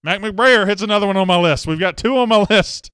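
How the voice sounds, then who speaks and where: A man's voice: sits high, a little fast, a bit bright, clear, resonant tone, no room sound. A man hosting a casual live show, chatting and reading viewer comments with self-mocking jokes. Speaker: host. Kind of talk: casual live-stream chat.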